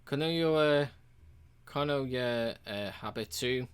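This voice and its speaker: A man casually walking through a game's achievements, relaxed and rambling, with frequent ums and uhs. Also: mid-range voice, takes it slowly, clear, resonant tone. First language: English. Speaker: game reviewer.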